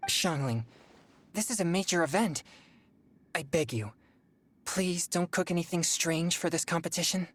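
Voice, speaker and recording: A woman's voice: on the low side, moderate pace, pauses often, lightly acted. A woman playing an earnest, polite, easily worried teenage boy in video game dialogue. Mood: alarmed. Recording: faint music behind the voice.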